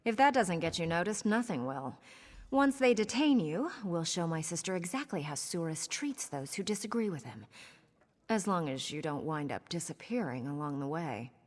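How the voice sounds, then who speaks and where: Sly, low-voiced pirate schemer, lively. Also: female voice, normal speed, in a large hall. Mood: sly, cynical. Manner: sardonic, conspiratorial. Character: scheming roguish female pirate, sly and cynical. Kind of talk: video game character dialogue.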